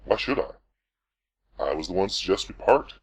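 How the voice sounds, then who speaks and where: A man playing a calm, self-assured lawyer under questioning in an amateur radio play. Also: low-pitched, brisk, vocal fry, full character voice.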